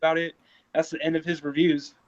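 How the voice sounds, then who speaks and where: A man in his thirties talks in a relaxed chat, stumbling over his words and restarting, then speeding up when he gets excited. His voice sits a little high. The recording sounds dull and lo-fi. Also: fast talker. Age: 30s. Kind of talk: casual chat.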